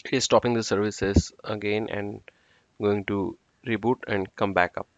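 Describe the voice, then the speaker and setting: A man with a low, smooth voice talks through a firewall-style tech walkthrough step by step, with small fillers between steps. The sound is dry and close, with a dull, slightly lo-fi tone. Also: steady tone, moderate pace, no echo. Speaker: network engineer instructor. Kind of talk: screen-recorded tech tutorial. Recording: dull dry lo-fi screen recording.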